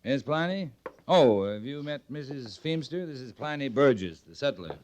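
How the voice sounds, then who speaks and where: An actor playing a courteous, persuasive frontier cavalry captain in an old radio Western, dry and understated. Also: male voice, mid-range voice, animated, moderate pace, a little mellow, a little hiss or hum, lightly acted. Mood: dry, brisk. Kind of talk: old-time radio Western drama acting.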